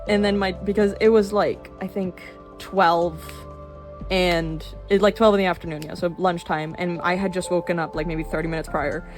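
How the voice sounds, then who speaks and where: Rambly young woman showing off her sketchbook, lots of ums, soft music behind her. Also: on the low side, steady tone. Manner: chatty, rambly, lots of fillers and quick laughs. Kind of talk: rambling casual chat. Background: soft background music.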